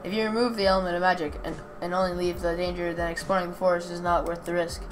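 A woman's voice: fairly low pitch, flat delivery, fast talker, music in the background, poor audio. A woman reading a story aloud with casual asides, stumbling over words and correcting herself. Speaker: reader of a visual novel playthrough. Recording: clear background noise.

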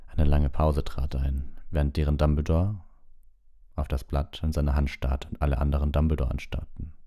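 A man reading an audiobook and hosting a livestream, with a relaxed, informal way of talking. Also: very deep voice, normal speed. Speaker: audiobook reader, livestream host. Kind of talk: narration and casual livestream chat.

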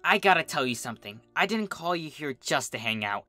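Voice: medium pitch, sing-song, brisk, pushed and bright. A story reader voicing a brash but nervous young female pegasus pony, casual and stammering. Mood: flustered, earnest. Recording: music behind the voice.

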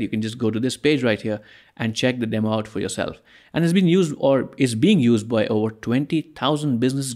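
Man explaining software in a tutorial. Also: medium pitch. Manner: explanatory, conversational, occasional self-corrections. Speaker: tutorial presenter. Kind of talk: screen-recorded software tutorial voice-over.

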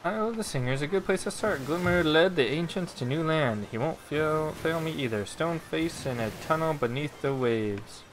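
A man's voice: on the high side, moderate pace, few pauses, rough recording. A middle-aged man giving casual gameplay commentary as a streamer. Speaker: game streamer. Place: indoor room. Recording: faint background game noise.

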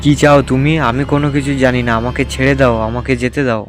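An anxious young village man played by a man speaks hesitantly at a middle pitch. He sounds haunted and uneasy, horror cartoon acting over clear music. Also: little pitch movement, brisk, close to an everyday voice. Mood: frightened. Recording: music behind the voice.

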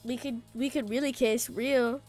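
A young woman streaming a game while sick, her voice raspy, swearing, joking with chat and singing la-la to herself. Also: a little high, steady tone, moderate pace, a little mellow. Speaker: VTuber streamer. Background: faint game music.

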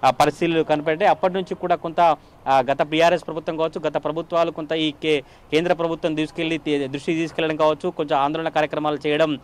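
Fast, crisp-voiced male news reporter. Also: on the high side, level tone. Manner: fast continuous delivery with frequent "aa" fillers; formal news phrasing. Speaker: field reporter. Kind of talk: live news report from the field.

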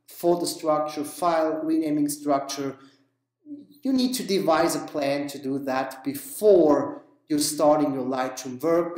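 Man explaining slowly. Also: on the high side, roomy sound. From Switzerland. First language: not English. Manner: relaxed, explanatory, with frequent fillers. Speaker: photography tutor. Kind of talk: screen-recorded software tutorial.

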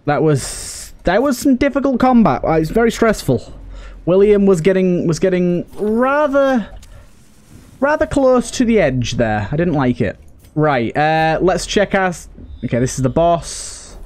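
A man's voice: high voice, sing-song, measured pace, a little mellow. A man giving casual let's play commentary, pleading with and exclaiming at the game characters as he talks. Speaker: gaming video host and player.

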